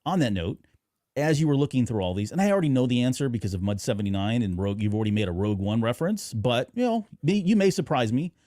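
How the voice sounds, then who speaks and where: A man's voice: mid-range voice, expressive, fast, warm tone, no room sound, studio quality. A man hosting a podcast in a casual chat, informal and rambling as he talks with his guest. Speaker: podcast host.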